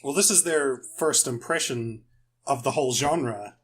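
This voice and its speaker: A man's voice: medium pitch, measured pace, a bit bright, a little hiss or hum. A man speaking informally in a panel discussion.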